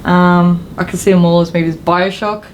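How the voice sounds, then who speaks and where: A woman's voice: lowish voice, flat delivery, moderate pace, slight background noise. A woman co-hosting a gaming show in casual chat, with an informal, slangy way of talking. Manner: informal, slangy, mildly sweary. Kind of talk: casual chat about games and films.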